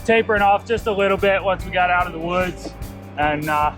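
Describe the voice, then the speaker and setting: A man's voice: sits high, brisk, fairly bright tone, soft background music. A man from Florida, a bikepacking racer answering interview questions in an upbeat, easygoing way.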